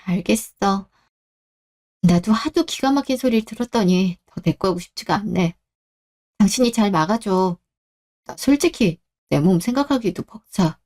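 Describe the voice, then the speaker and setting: A woman's voice: medium pitch, animated, moderate pace, a little mellow, natural voice. A woman voicing a wronged young wife in a radio drama, speaking in a plain, firm manner. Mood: weary. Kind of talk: Korean radio-drama story acting.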